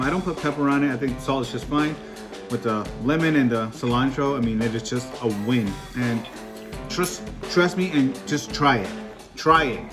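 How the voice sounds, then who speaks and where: A man from Peru hosting a cooking vlog, talking through a recipe in an upbeat, chatty way with small jokes at his own expense. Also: medium pitch. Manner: upbeat, chatty, self-joking. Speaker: cooking vlogger. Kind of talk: casual cooking tutorial.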